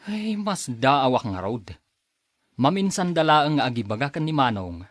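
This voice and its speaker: A man's voice: medium pitch, very animated, measured pace, dry recording, studio quality. A man playing an irritable, grudging younger brother with an office career in an Ilocano radio drama. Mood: annoyed.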